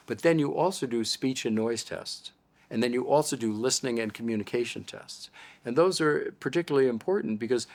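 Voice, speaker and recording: An older man with an American accent, an audiologist patiently explaining things in an interview. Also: medium pitch. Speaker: audiologist guest.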